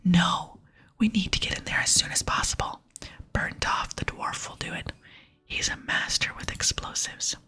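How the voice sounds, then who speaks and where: A woman's voice: bright, forceful tone, lightly acted. Woman whispering as a boastful female archaeologist. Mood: brisk, bossy. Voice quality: breathy whisper. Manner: self-important, brisk. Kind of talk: whispered ASMR reading of game dialogue.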